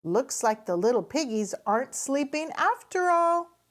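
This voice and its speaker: A woman's voice: high-pitched, sing-song, unhurried, voice pushed up. A woman voicing a friendly, curious old grandpa pig in a storybook, speaking genially. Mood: amused, curious.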